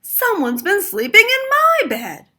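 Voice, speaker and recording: A woman voicing a grumpy, demanding father panda in a storybook, loud and blustering. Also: extremely high pitch, wildly swooping pitch, a little slow, pitched above the performer's own voice, exaggerated voice.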